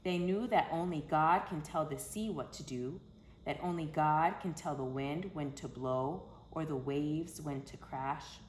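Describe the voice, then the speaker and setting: A woman's voice: low voice, a little slow. A woman reading a children's picture book aloud expressively, with dramatic, emphatic phrasing. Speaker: storyteller for children. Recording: echoey room, faint background noise.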